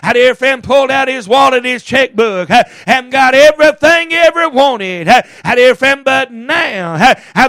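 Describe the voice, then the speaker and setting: A man delivers a hellfire sermon in a very high, strained register, chanting each phrase and tacking on "uh, and everything" again and again. He draws key words out slowly and breaks into shouts. The sound is dry and close, with no room echo. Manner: chanted preaching cadence with constant "uh, and everything" fillers. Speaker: preacher.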